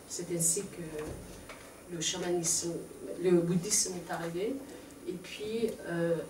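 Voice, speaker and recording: A woman's voice: on the low side, mellow, a little hiss or hum. A woman with a Mongolian accent, speaking as presenter and interpreter in a live conference talk, hesitant with frequent fillers. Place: lecture room. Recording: echoey room, mic far off.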